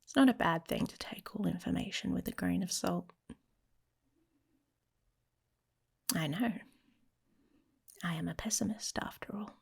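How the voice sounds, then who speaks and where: A woman voicing a protective, teasing werewolf mate in an intimate ASMR romance roleplay, speaking softly and casually, often slipping into a whisper. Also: fairly low pitch, moderate pace, creaky, breathy edge, exaggerated voice. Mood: dry, reassuring. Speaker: audio roleplay performer.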